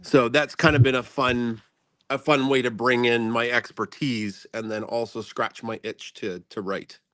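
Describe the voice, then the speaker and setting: A man speaking as a guest in a casual chat, explaining things in a halting, self-effacing way. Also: medium pitch, steady tone, fairly bright tone, dry recording. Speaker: guest speaker, science teacher and content creator.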